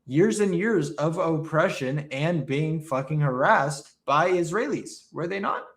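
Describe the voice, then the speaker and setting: A man's voice: fairly high pitch, lively intonation, measured pace. A man on a live stream call, arguing guardedly with the host. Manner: guarded, pushes back with short retorts. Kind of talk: debate with a host.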